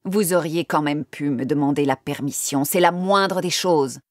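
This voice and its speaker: A woman's voice: low voice, normal speed, no room sound, clean studio sound, natural voice. A woman voicing a stern, haughty witch in an acted storybook tale, speaking with deliberation. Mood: indignant, stern. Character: menacing, bargaining old witch, stern and possessive. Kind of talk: storybook audio drama acting.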